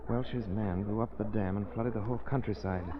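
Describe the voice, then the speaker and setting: An actor playing a composed, well-bred gentleman detective with grave, polite delivery, in 1930s radio style. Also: fairly low pitch, fairly even intonation, a little fast, a crowd in the background, natural voice. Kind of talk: 1930s radio drama acting. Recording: muffled 1930s radio recording.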